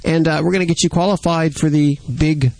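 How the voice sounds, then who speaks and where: A man's voice: on the high side, steady tone, brisk, vocal fry, a little mellow, no room sound, low-quality recording. A man hosting a radio talk show, reading promos and trading jokey banter with his co-host.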